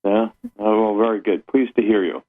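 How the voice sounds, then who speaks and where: A man's voice: fairly low pitch, little pitch movement, moderate pace. A man from Pennsylvania phoning in to a radio show for a casual chat over a narrow phone line. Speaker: phone-in caller.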